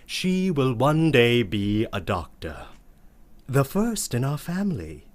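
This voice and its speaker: An actor playing a prissy, verbose uncle with a pompous, ornate manner in a comic radio play monologue. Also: male voice, mid-range voice, very animated, slow pace. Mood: proud, fussy. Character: prissy, verbose, scandalized uncle telling family tales.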